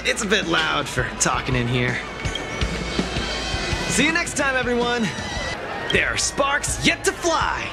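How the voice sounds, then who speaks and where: Upbeat, animated young fighter. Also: male voice, high-pitched, unhurried, creaky, pauses often, heavily acted. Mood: cheerful, modest. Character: upbeat, humble young male champion fighter. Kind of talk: video game voice acting. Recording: loud music behind the voice.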